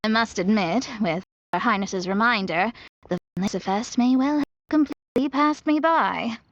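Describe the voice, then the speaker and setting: A woman's voice: fairly high pitch, moderate pace, no echo. A woman voicing a poised, proud young astrologer in a video game, articulate and explanatory. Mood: composed, amused. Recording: slightly dull, dry studio audio.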